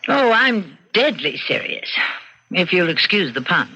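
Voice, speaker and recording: An actress playing a bored, cunning wife in her thirties in an old-time radio drama, with cool, theatrical, deliberate delivery. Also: on the low side, very animated, pushed and bright, husky, full character voice. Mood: cool, deadly serious. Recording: dull, noisy vintage radio recording.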